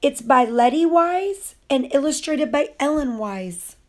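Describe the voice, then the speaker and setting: A woman reading a children's story aloud in a deliberate, expressive way. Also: sits high. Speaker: storybook reader.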